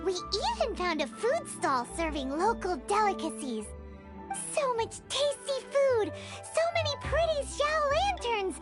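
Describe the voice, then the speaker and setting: A woman's voice: sing-song, measured pace, heavily acted. A woman voicing a tiny, bubbly, childlike fairy companion in a video game. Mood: eager. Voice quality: squeaky, childlike. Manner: bubbly, exclamatory. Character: tiny excitable childlike fairy companion girl, food-loving. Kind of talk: video game character acting. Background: game music.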